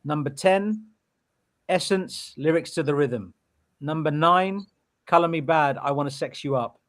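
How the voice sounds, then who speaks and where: A man co-hosts a podcast with a voice a little higher than most men's. He mocks himself with a laugh, then reads out a ranked list steadily. The chat is casual. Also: measured pace. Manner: joking and self-mocking, steady list reading. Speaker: podcast co-host.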